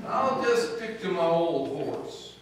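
A man's voice: high-pitched, sing-song, slow, slight background noise, exaggerated voice. A man voicing a hearty, folksy cowboy with a mock Western accent in a staged old-time radio comedy. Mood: folksy, stubborn. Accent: mock American Western. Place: stage in a hall before a seated audience. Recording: very echoey room, distant camera microphone.

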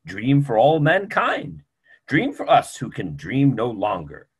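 A middle-aged actor playing a kindly, reflective old doctor in a stage play reading. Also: male voice, mid-range voice, wildly swooping pitch, measured pace, breathy edge, full character voice. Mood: gently reasoning. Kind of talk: stage play reading over video call. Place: small room, video call.

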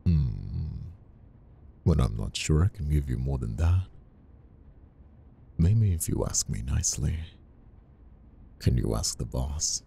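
A man playing a flirtatious, dominant boss in an intimate ASMR roleplay, murmuring slowly and breathily with a deep, gravelly voice. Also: expressive, warm tone, a little hiss or hum, heavily acted. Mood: seductive, commanding. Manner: slow, breathy murmuring with sighs. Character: flirtatious, conflicted male boss, dominant and teasing. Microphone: close ASMR microphone.

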